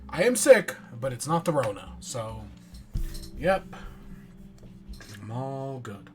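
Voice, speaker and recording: Stuffy-nosed man with a raspy throat. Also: medium pitch, sing-song, slow, over music. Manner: rambling, offhand, self-mocking. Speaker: streamer. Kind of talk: casual chat while playing a game.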